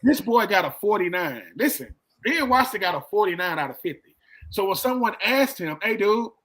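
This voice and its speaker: A middle-aged man with a high, bright voice talks sports casually. He speaks in colloquial phrases, circles back to repeat his points and slips into quoted dialogue as he tells a story. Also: rough recording. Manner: colloquial, repeats himself, acts out quoted exchanges. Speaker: sports show co-host and former football player.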